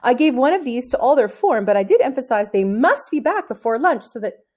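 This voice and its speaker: A woman's voice: fairly high pitch, very animated, brisk, a little mellow, pitched above the performer's own voice. A woman reading a storybook as a worried, flustered, hesitant male schoolteacher.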